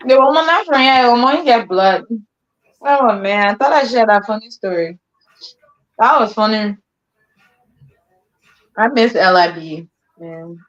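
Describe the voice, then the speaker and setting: A woman with a Liberian accent chats and tells stories on a live stream. Her voice sits fairly high, and she laughs easily and drops into colloquial slang. The audio is muffled and lo-fi. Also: slow, pauses often. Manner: laughs often, relaxed storytelling with colloquial slang. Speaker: host. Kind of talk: casual chat livestream.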